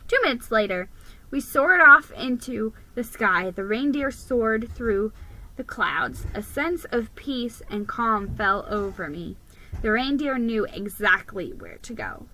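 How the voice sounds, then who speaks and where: A woman reads a children's story aloud with drama and expression. Her voice is bright and a little high, and she goes slowly and deliberately, sometimes tripping on a word. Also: a little hiss or hum, low-quality recording. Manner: deliberate, expressive, with stumbles over words. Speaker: storybook reader.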